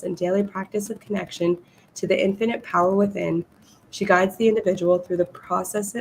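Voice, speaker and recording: A woman hosting a podcast interview, a little hoarse and losing her voice, chatting eagerly with her guest. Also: mid-range voice, slight background noise, rough recording. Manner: enthusiastic, reads guest bio aloud. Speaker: podcast host. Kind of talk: casual interview.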